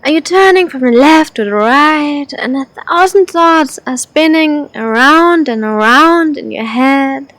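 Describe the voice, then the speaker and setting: A woman leading a guided sleep meditation, speaking in short, deliberate, spaced-out phrases with gentle instruction. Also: sits high, dry recording.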